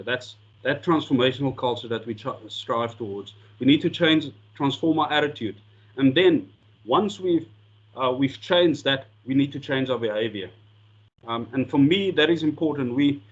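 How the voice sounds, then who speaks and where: A man's voice: medium pitch, muffled recording. A South African man whose first language is Afrikaans, giving an earnest coaching talk with slides and often repeating words as he restarts sentences. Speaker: sport coaching lecturer.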